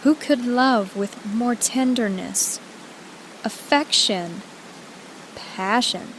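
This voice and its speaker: A woman's voice: fairly high pitch, very slow, a bit bright. A woman playing a lovestruck, yearning, vulnerable young woman in an audio drama, with a pleading manner. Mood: yearning, passionate. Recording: noisy background of rain.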